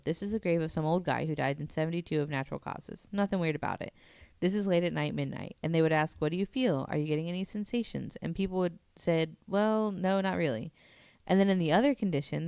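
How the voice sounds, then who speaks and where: A woman's voice: low-pitched, vocal fry, a little mellow, smooth, no echo, clean studio sound. A woman talking casually and informally as a guest on a podcast, walking through what she has read. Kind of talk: casual podcast talk, presenting and paraphrasing an article.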